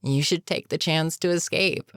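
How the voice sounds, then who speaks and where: Woman acting a low-voiced, weary older supervillain. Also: steady tone, normal speed, gravelly, no echo, studio quality. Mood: smug, menacing. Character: older, weary supervillain, menacing yet protectively concerned. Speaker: voice actor in audio roleplay. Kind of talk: dramatic audio roleplay monologue.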